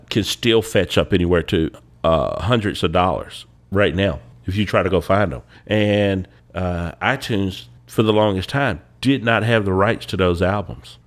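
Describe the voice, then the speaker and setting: A young man with a very deep, congested voice, stuffy from a cold. He chats casually as a podcast co-host, unhurried and drawling, with lots of "uh" pauses. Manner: relaxed, drawling, with many "uh" pauses. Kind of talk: casual chat.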